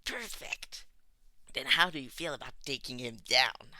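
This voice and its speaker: A man's voice: medium pitch, expressive, a little slow, sharp tone, no echo. A man playing a menacing, possessive young villain leader who mocks and taunts in a story reading. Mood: gleeful. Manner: taunting, wicked, easily annoyed.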